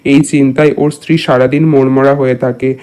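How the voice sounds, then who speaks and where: A man playing a scheming, smooth-talking and grimly resolved village father in a cartoon. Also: mid-range voice, level tone, a little fast, warm tone, lightly acted. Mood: persuasive. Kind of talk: cartoon character acting in a Bengali moral tale.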